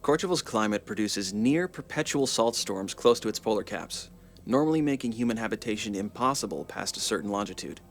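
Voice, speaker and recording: A man playing an earnest, analytical mech pilot in a game cutscene, measured and formal as he explains. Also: on the low side, expressive, soft background music. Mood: earnest briefing. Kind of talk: video game cutscene acting.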